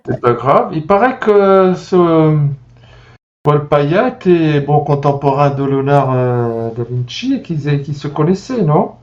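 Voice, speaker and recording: A man's voice: on the high side, lively intonation, unhurried, a little mellow. A man playing in a tabletop role-playing game, asking short, probing questions in character. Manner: brief, inquisitive questions. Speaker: player. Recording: dull lo-fi audio.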